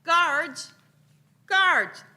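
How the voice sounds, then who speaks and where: A woman's voice: very high voice, expressive, very slow, bright, forceful tone, heavily acted. A woman playing an urgent, capable young adventurer in a radio play. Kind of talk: live radio-play acting.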